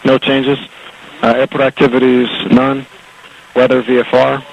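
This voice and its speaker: A man working as an air traffic controller, giving procedural information in a halting, matter-of-fact way over radio. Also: medium pitch, completely flat delivery, creaky, muffled recording. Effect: aviation radio and landline. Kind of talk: radio phraseology, procedural position briefing.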